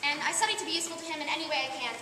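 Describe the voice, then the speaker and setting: A woman's voice: high voice, racing, sharp tone. A young woman playing a chatty, self-assured, curious first woman in an amateur stage play, speaking in a precise, declamatory and self-satisfied way. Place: large echoey hall, live stage performance. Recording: echoey hall, distant mic, noisy.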